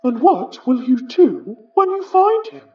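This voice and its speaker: An actor playing the summoned remnant of an English gentleman, wry, wistful and formal, with a British accent, in an audio horror drama. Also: high voice, sing-song, far from the mic, lo-fi sound, full character voice. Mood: intrigued, grave. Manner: measured, formal, musing, ornate phrasing.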